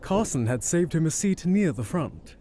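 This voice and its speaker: A man's voice: a little high, sing-song, normal speed, warm tone, slight background noise. A man reading scripted third-person narration for an audio drama.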